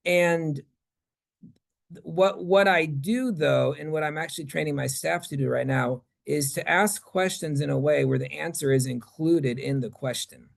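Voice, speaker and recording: Creaky-voiced man answering audience questions. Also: a little high. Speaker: presenter. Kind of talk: conference talk question-and-answer session.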